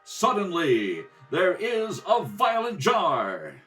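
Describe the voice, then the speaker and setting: A man's voice: sits high, huge pitch swings, takes it slowly, pushed and bright, soft background music. A man narrating a scripted radio adventure serial as announcer, dramatic and declamatory. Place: kitchen table at home. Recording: home kitchen recording, distant mic.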